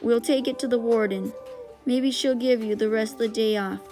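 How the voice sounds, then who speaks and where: A woman voicing a gruff, sarcastic male camp guard in a storybook reading, with a mocking, commanding manner. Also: fairly high pitch, fairly even intonation, normal speed, mellow, soft background music, natural voice. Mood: stern, sarcastic.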